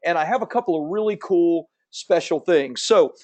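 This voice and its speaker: A man in his fifties with a slight Southern American accent, rattling through a webinar at speed. His voice sits a little high for a man. His delivery is upbeat and salesy, like a pitch to an online audience. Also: animated, normal speed, darkish tone. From Central Florida. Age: 50s. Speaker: webinar host. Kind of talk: fast promotional webinar presentation.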